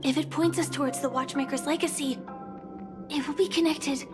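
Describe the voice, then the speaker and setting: An actress playing a guarded, perceptive young woman in a video game, speaking in a measured, serious and wary way. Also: sits high, steady tone, over music, lightly acted. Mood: pensive.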